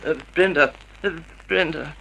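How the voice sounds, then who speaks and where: An actor playing a wounded, frail semi-invalid husband in an old-time radio drama, halting and pleading. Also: male voice, fairly high pitch, creaky, sharp tone, lo-fi sound, full character voice. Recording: noisy vintage radio recording.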